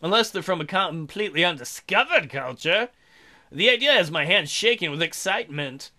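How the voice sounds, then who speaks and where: A man's voice: high voice, animated, moderate pace, pushed and bright. A man hosting a let's play, reading a visual novel aloud and breaking in with irreverent, playful, mocking asides.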